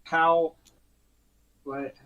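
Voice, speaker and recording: High-voiced, hesitant man at game table. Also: level tone, drawn-out words, pushed and bright, muffled recording. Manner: hesitant, repeats and rephrases questions. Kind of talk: casual in-game chat.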